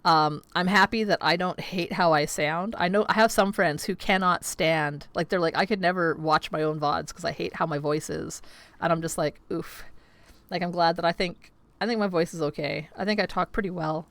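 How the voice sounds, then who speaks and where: Young adult woman, casual livestream host, slightly scratchy, lower voice, chatty and meandering. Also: steady tone, moderate pace, fairly bright tone, no echo. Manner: rambling, filler-heavy, chatty. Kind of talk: casual chat with viewers. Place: home streaming setup with green screen.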